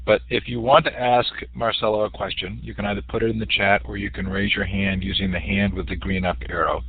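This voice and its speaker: A man moderating the question session of an online talk, giving clear and friendly instructions. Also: fairly low pitch, level tone, fairly quick. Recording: tinny online call audio.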